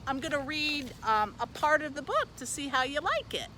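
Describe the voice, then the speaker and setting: Female children's librarian, bright and animated read-aloud delivery, faint outdoor traffic noise. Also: high voice, moderate pace. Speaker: youth services librarian presenting a book.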